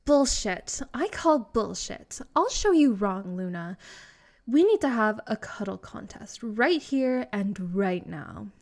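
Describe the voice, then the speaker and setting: A vampire girl, acted by a woman speaks slowly in a middling female pitch. She is smug and possessive, teasing and doting by turns, in the manner of a comedic roleplay audio drama. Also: sing-song, a little mellow. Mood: defiant. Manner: haughty, doting, teasing, drawn-out delivery.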